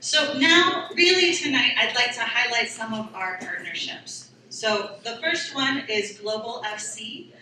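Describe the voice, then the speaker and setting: Bright-voiced woman presenter, echoey hall. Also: a little high, expressive, a little slow, few pauses, recorded from a distance. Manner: conversational, with fillers. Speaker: school district presenter. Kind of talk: public presentation.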